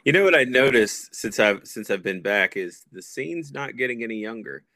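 An American man in his thirties from Texas, chatting casually as a podcast guest with a hesitant, pausing delivery. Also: medium pitch, lively intonation, bright, forceful tone, no echo. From Texas, United States. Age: 30s. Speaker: podcast guest, punk music promoter. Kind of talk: casual chat.

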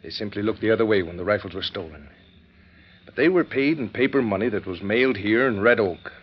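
A man's voice: fairly low pitch, brisk, breathy edge. An actor playing a purposeful, matter-of-fact lawman of the Old West in a classic radio Western. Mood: businesslike. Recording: dull, lo-fi old radio recording.

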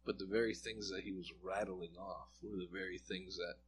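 A man's voice: sits low, steady tone, moderate pace, noisy background. A man as an interview guest, earnestly telling a personal story in a conversational way and acting out bits of dialogue. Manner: earnest, reflective, acts out quoted dialogue. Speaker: guest sharing a personal testimony.

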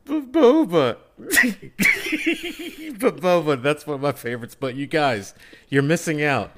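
A man's voice: a little high, lively intonation, slow, heavy background noise. A man on a podcast panel chatting casually, teasing and throwing questions at the others. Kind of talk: casual, joking group chat.

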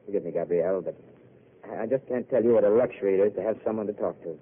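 A weary, wry wandering intellectual. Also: male voice, lowish voice, very fast, husky. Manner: wry, eloquent, self-mocking. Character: weary, self-mocking wandering intellectual man. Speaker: wandering failed writer. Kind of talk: 1930s radio drama acting. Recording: tinny old radio broadcast.

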